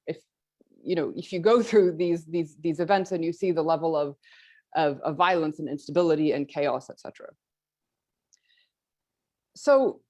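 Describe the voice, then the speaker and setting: A woman's voice: fairly low pitch, a little mellow. A woman giving prepared opening remarks on her research, speaking earnestly and often repeating a word as she restarts a phrase. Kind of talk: prepared policy presentation.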